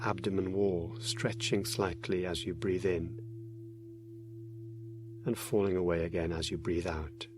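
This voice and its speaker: Deep-voiced man guiding a meditation. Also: fairly even intonation, noisy background. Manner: gentle, unhurried instruction with many pauses. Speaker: meditation guide. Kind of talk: guided meditation narration.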